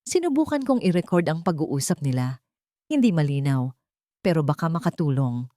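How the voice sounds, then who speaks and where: A woman acting a soft-spoken, urgent older Filipino woman. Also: sits low, very animated, moderate pace, no echo, clean studio sound. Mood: weary, resolute. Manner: urgent, pleading. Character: thin older woman, timid but fed up, confessing.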